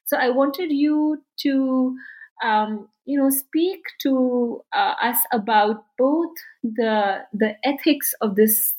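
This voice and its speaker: A woman's voice: sits high, takes it slowly, a little mellow, rough recording. Woman host asking long rambly questions, lots of you know and uh, super appreciative. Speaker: podcast host, interviewer. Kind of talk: academic interview questions.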